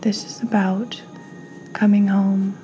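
A woman leads a guided meditation in a soft, calm voice. Her pace is slow and her pitch stays very even. The tone is mellow and gentle, often close to a breathy whisper. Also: soft background music. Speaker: podcast host, meditation guide.

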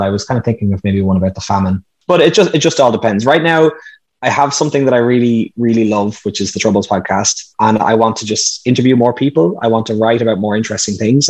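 Husky low-voiced guy explaining stuff in an interview, earnest, restarts a lot. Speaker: podcast host, interview guest. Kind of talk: casual interview conversation.

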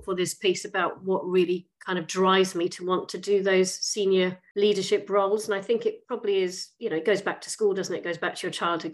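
A woman in her forties answering interview questions thoughtfully and steadily, with occasional fillers. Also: on the low side. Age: 40s. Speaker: guest, chief executive.

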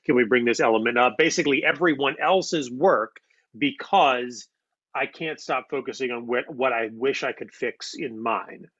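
A man's voice: mid-range voice, normal speed, a bit bright, breathy edge, no echo, highs cut off. A man chatting in an interview, wordy and self-critical, thinking aloud. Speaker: audio drama writer. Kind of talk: casual interview chat.

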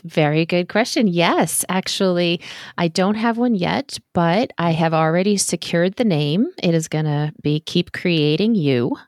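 A woman's voice: fairly low pitch, unhurried, no echo, studio quality. A woman in her forties, a podcast producer, talking in an upbeat, earnest way in a casual interview. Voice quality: sweet-sounding voice.

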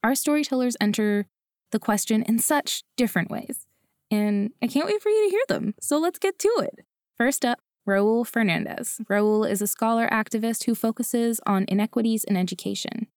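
A woman's voice: a little high, animated, normal speed, a little mellow, no echo, clean studio sound. A woman hosting a science storytelling podcast, reading a scripted introduction in an upbeat, eager way. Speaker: podcast host.

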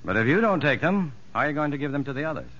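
A man's voice: a little high, sing-song, rapid-fire, a bit bright, full character voice. An actor playing a shrewd, composed prison psychologist who narrates his story in a 1950s radio drama, educated and dryly skeptical. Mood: dry, probing. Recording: muffled vintage radio broadcast with music.

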